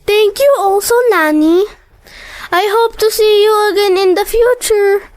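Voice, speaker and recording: A woman's voice: very high voice, takes it slowly, darkish tone, no echo. A woman voicing a sweet, clingy little girl attached to her nanny, childlike and wistful, in a radio drama. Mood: wistful, affectionate. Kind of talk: radio drama acting in English.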